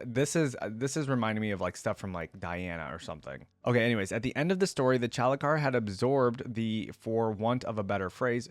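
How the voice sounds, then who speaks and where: A man hosting a casual reaction, thinking out loud in a conversational way and reading trivia aloud. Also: on the low side, dry recording. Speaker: host reacting to game lore and voice lines.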